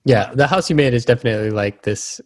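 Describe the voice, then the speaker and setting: A man's voice: medium pitch, fast, darkish tone. A man hosting an interview, chatting in an informal and appreciative way. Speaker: podcast host. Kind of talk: casual interview chat.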